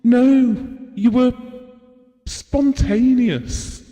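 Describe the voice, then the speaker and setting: A man's voice: very high voice, drawn-out words, mellow, long reverb tail, heavily acted. An actor playing a devoted, wistful husband who reminisces tenderly and reflectively about his marriage in an intimate audio drama. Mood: adoring, earnest.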